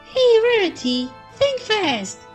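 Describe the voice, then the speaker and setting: A woman voicing a hyper, mischievous young female cartoon pony with an excitable, squealing delivery. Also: very high voice, slow, soft background music, pitched above the performer's own voice, exaggerated voice.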